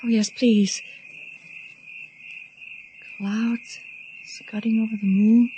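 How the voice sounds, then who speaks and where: A woman's voice: fairly high pitch, level tone, extremely slow, mellow, slightly rough, exaggerated voice. An actress in a radio drama playing a weary, frightened, guilt-ridden woman with a fearful way of exclaiming. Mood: anxious.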